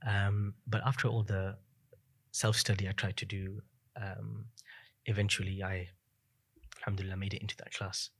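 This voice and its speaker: A man in his thirties, a podcast guest, telling his story in a fast, reflective way with many fillers. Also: on the low side, level tone, a bit bright, slightly rough. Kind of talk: casual interview chat.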